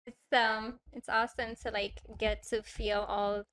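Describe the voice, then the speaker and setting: Soft-spoken young woman with an Arabic accent. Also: fairly high pitch, level tone, a little slow. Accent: Moroccan Arabic. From Morocco. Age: young adult. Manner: soft, hesitant, many fillers, giggly. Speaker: podcast guest. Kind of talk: casual interview chat. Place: small indoor studio, on a couch. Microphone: lavalier mic.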